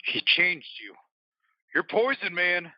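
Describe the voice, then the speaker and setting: A grim, forceful biker played by a man in an audio play. He confronts in slow, angry phrases with lively swings of pitch, recorded dry and slightly dull. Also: no echo, heavily acted. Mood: angry accusation.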